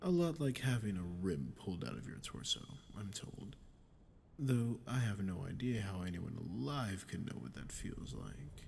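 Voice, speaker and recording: A low-voiced, gravelly demon as a man acts him out looms over a human in an ASMR roleplay. He is smug, dominant and deliberate, taunting with cold condescension. A rumbling noise sits behind the voice. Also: sing-song, a little mellow. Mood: menacing. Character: sadistic archdemon, smug, menacing, dominant toward a human. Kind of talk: ASMR roleplay audio acting.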